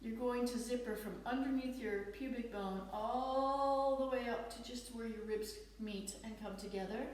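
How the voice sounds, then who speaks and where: A woman fitness instructor with a slight Dutch accent, leading a guided stretching class with gentle, encouraging cues. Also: fairly high pitch, far from the mic, slight background noise. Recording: echoey room, voice off-mic.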